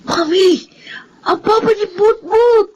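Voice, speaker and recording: A woman's voice: very high voice, slow, a little mellow, highs cut off, slight background noise. A woman playing a small, curious, innocent schoolgirl with childlike, polite questions in a Filipino radio drama. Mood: worried.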